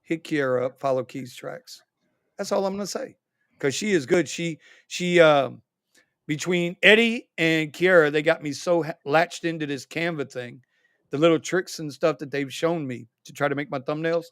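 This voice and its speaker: A man hosting a casual livestream chat, reading viewer comments aloud in an animated way. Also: on the high side, moderate pace, clear, resonant tone, no room sound, studio quality. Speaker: livestream host.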